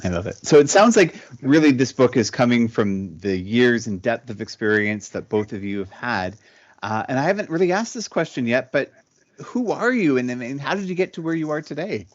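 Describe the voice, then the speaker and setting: A man's voice: mid-range voice, big pitch swings, normal speed. Man hosting over a muffled call. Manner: attentive, affirming, many "right"s. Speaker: host. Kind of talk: virtual interview hosting. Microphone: video call. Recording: muffled video call audio.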